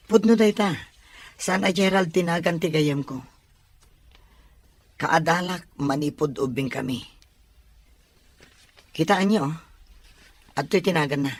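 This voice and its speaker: An actor with a Filipino accent playing a loyal, courteous young best friend in an audio drama, his voice slightly husky. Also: a little high, slow pace, long pauses, dry recording. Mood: earnest.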